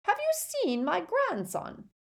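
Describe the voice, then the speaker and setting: Crisp imperious old grandmother witch, shrill and high, put on by a woman reader; storybook audiobook. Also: wildly swooping pitch, slow, full character voice. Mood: brisk, proud. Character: elderly stern grandmother witch, crisp and proud.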